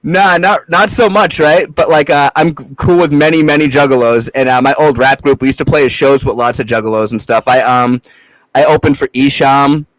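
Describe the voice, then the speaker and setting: A man's voice: mid-range voice, fairly even intonation, moderate pace, dry recording, rough recording. A young adult man from Massachusetts, a podcast guest chatting casually in a loose, rambling way with frequent swearing and fillers. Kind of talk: casual chat.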